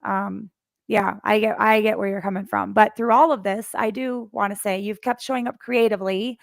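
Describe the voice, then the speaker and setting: Gravelly-voiced woman hosting a podcast. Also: mid-range voice, steady tone, normal speed, dry recording, clean studio sound. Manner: warmly encouraging, talkative, laughs easily. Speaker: podcast host. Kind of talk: casual podcast interview.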